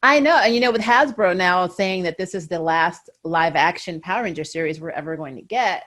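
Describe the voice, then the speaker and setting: A woman's voice: fairly low pitch, fairly quick, a bit bright. A woman hosting a casual fan chat, talking in a giggly, enthusiastic way. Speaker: host of a fan interview show.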